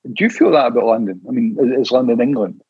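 A man's voice: medium pitch, quick pace, warm tone, slight background noise. An older man, a minister, interviewing a colleague in a conversational way with probing, teasing questions. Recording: dull, dry lo-fi audio.

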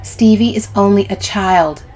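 A woman's voice: medium pitch, measured pace, darkish tone, faint music behind, pitched above the performer's own voice, natural voice, rough recording. A woman narrator voicing an earnest, defensive, moralizing woman in an audiobook story, insistent and pleading. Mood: earnest, reproachful.

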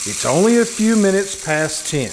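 A man acting an exasperated but protective husband in a live old-time radio suspense play, halting and reasoning. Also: high voice, sing-song, low-quality recording. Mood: impatient, reasoning. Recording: live recording with loud background noise.

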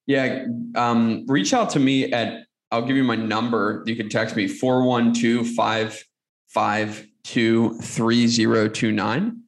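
Guest on a podcast: man, gravelly, earnest motivational delivery, echoey room. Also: medium pitch, fairly even intonation, slow. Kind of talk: conversational interview answers.

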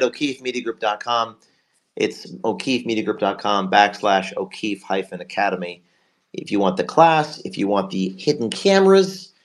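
Hoarse male live-show host, middle pitch, rambling and promotional. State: hoarse from a cold. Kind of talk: live online talk show.

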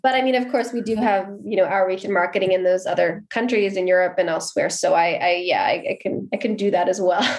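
An American woman from the Pacific Northwest answers interview questions in a relaxed, explanatory way. Her voice sits in a middle register. She now and then restarts a phrase as she thinks aloud. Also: mid-range voice, quick pace. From Seattle, USA. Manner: friendly, explanatory, occasional restarts. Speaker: guest, marketing executive.

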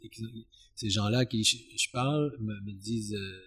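A man answering as an expert guest in an interview, explaining in a halting, searching way and often repeating words. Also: on the low side, a bit bright, breathy edge. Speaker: expert guest, sociology professor.

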